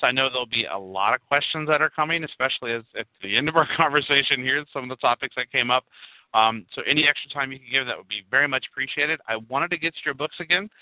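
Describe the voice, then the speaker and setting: A man's voice: medium pitch, no echo. A man hosting an online talk show, asking long rambling questions with many repeated words. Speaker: show host. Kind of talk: online radio interview. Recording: tinny phone line, dry.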